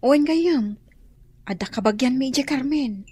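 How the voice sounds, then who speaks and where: A woman's voice: high voice, lively intonation, moderate pace, warm tone, slightly rough. A woman playing a concerned friend passing on news in a radio drama. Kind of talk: Ilocano radio drama acting.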